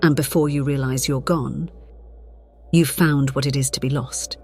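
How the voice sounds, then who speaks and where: A woman playing a centuries-old, conflicted vampire, narrating gravely in a measured, theatrical way. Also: rumbling low, fairly even intonation, dark tone. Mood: brooding. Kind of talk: dramatic scripted narration. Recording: dramatic music behind the voice.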